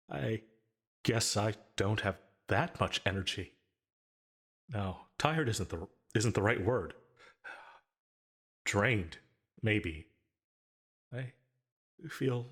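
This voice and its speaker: A man playing a lonely, possessive sleep paralysis demon in an intimate ASMR roleplay, hesitant and stammering, with a breathy, husky voice. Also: lowish voice, animated, a bit bright, exaggerated voice. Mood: drained, uncertain. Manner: halting, hesitant, stammering, tentative.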